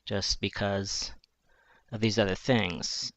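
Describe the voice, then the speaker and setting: Soft-spoken man with a high voice. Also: steady tone, no room sound, dull audio. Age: 40s. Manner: thoughtful, searching, with pauses and restarts. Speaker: journalist. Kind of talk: reflective personal monologue.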